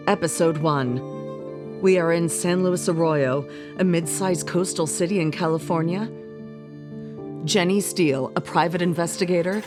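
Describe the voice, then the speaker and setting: A woman announcer reading scripted narration for a radio mystery drama. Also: fairly low pitch.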